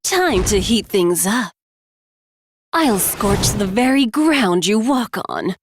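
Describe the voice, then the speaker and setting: A woman's voice: mid-range voice, expressive, slow. A woman voicing a confident, menacing fire sorceress in a video game, with a smouldering, taunting manner. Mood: taunting menace. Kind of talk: video game hero voice lines. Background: music.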